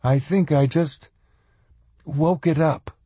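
A man as a soft, higher-pitched shy girl. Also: fairly even intonation, takes it slowly, husky, no room sound. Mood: shy, uncertain. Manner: soft, hesitant. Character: shy, uncertain girl with a gentle temper.

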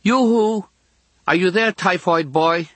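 A man plays a cheeky Irish girl of thirteen from a storybook. The voice is lifted high and calls out in a teasing sing-song. The sound is close and dry. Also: takes it slowly, fairly bright tone, no room sound. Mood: curious, calling out. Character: lively, cheeky thirteen-year-old Irish girl in hospital.

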